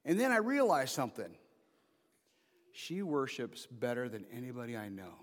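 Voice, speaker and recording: A man's voice: medium pitch, animated, normal speed. A man in his fifties preaching an informal sermon as pastor, mixing joking asides with emphatic calls to the congregation. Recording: preached in a reverberant hall.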